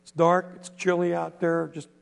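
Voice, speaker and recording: A man voicing a caring mother who gently warns her son, in a told folk tale. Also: on the high side, monotone, normal speed, gravelly. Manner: gentle warning.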